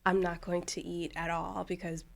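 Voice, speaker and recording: A woman hosting a podcast and interviewing a guest in a warm, enthusiastic, casual way. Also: fairly low pitch, level tone, normal speed, vocal fry. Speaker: podcast host.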